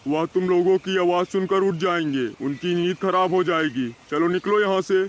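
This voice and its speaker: A man voicing a stern, exasperated father crow in an animated moral story, scolding and insistent. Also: sits high, moderate pace, slight background noise, natural voice. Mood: dismissive.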